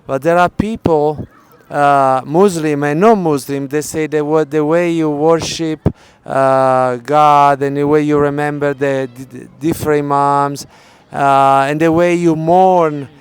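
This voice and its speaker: A man asks curious questions, pausing with fillers as he searches for words. His voice is very smooth and clear. It sits a little high for a man. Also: steady tone, no room sound. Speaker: interviewer. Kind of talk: casual interview. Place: outdoors at a city shrine.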